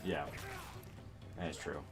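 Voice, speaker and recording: A man co-hosting a game stream, trading laid-back, wry banter. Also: takes it slowly. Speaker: stream co-host. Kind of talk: casual game stream chat.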